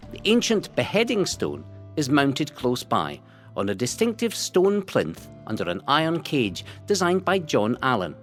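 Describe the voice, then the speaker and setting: A man reading scripted historical narration in a measured, dramatic storytelling way. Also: medium pitch. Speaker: narrator of a local history audio guide. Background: music.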